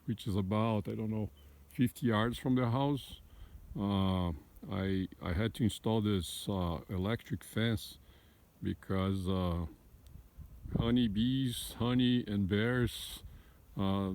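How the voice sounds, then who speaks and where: A man's voice: on the low side, mellow. A man from Brazil with a Brazilian Portuguese accent, talking in a hesitant, filler-heavy way while showing his backyard beehives outdoors. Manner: hesitant, many "uh" fillers and restarts. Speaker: beekeeper, channel host. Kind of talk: casual walkthrough vlog. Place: outdoors in a wooded backyard apiary.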